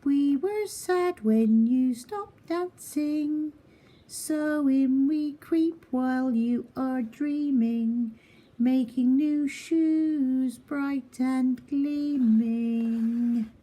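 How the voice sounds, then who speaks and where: A woman's voice: high-pitched, very slow, dark tone, voice pushed up, full character voice. A woman voicing tiny cheerful elves in a children's storybook, in a sing-song rhyming chant. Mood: merry.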